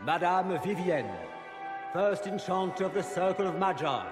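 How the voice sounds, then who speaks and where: A man performing a pompous court herald for a fantasy game. His high voice proclaims with grand ceremony, ringing through a long hall reverb over music. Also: moderate pace, lightly acted. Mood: ceremonious. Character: pompous court herald announcing noble guests.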